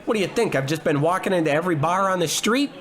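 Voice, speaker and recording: A man acts out a bumbling private detective in a comic radio play. His voice sits fairly high, and he blusters and grumbles along with great self-importance, oblivious to everyone around him. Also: animated, fast, crowd noise. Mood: self-important, insistent. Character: bumbling, blustery, gluttonous male private investigator. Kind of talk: scripted comedy in old radio detective style.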